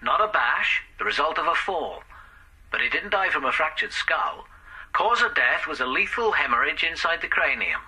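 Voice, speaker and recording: An actor playing a brisk male police detective, giving a report over the phone in a matter-of-fact way in a radio drama. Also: a little high, lively intonation, bright, forceful tone, breathy edge, exaggerated voice, rough recording. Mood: factual. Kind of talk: BBC radio drama acting.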